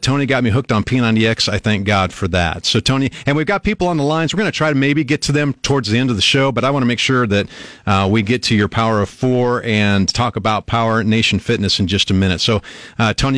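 A man's voice: lowish voice, fairly bright tone, no room sound, studio quality. A man hosting a radio talk show, upbeat and easygoing as he thanks a caller and reads out listener messages.

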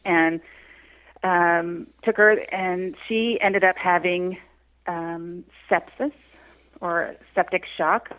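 A woman's voice: on the low side, steady tone, slow pace, lo-fi sound. A woman from Texas speaking as a podcast guest, earnest and conversational. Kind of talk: interview conversation.

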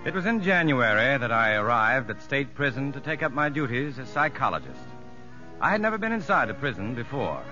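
Man acting mild American psychologist, mid pitch, lively range, earnest, polite, measured, old radio drama. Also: music in the background, lightly acted. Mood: reflective narration. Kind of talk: 1950s radio drama acting with first-person narration. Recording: muffled old radio broadcast.

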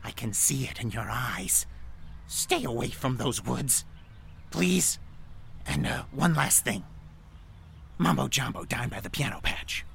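An actor playing an eerie wild man in an audio drama, giving halting, ominous warnings in a creaky voice. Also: mid-range voice, expressive, fairly bright tone, a little hiss or hum, exaggerated voice. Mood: ominous, pleading. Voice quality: creaky, gravelly.

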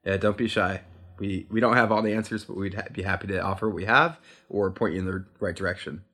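A man's voice: low voice, fast. A man, a guest on a podcast, chatting casually and encouragingly about creative work. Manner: relaxed, encouraging, with fillers and restarts. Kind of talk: casual advice chat.

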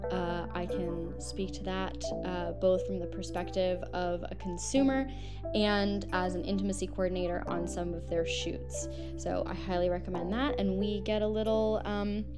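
A woman hosts a podcast in an easy, unhurried way, pausing often and filling gaps with "um" and "uh." Music plays clearly underneath her voice. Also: on the low side. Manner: halting, with many fillers and restarts. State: lost voice, whispering. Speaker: podcast host. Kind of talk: casual podcast interview.

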